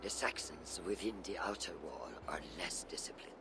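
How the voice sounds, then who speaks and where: Deep, gravelly old assassin mentor. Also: male voice, animated, noisy background. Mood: low, scheming. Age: older. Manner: measured, deliberate, formal and archaic phrasing. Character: seasoned older male assassin mentor, shrewd, patient, condescending. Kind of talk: dramatic video game dialogue.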